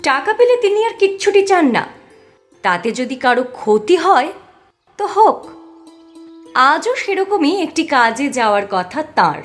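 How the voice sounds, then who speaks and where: High, lively female cartoon storyteller. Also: moderate pace, long pauses, faint music behind. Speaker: narrator. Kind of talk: scripted storytelling narration for a cartoon.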